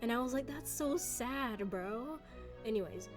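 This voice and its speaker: A woman's voice: a little high, expressive, unhurried. A woman giving casual gameplay commentary, rambling with lots of fillers and slang. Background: game music and dialogue.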